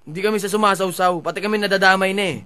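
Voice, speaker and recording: A man's voice: sits high, steady tone, rapid-fire, crowd noise, natural voice. A man with a Filipino accent playing a menacing, insulting rapper making threats on a confrontational prank phone call. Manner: taunting, confrontational, swearing. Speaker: prank caller.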